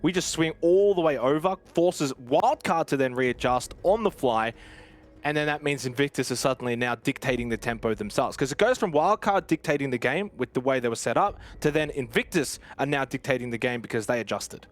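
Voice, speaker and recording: A man's voice: medium pitch, brisk. A man commentating on an esports match in a conversational, explanatory way. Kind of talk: analytical live match commentary.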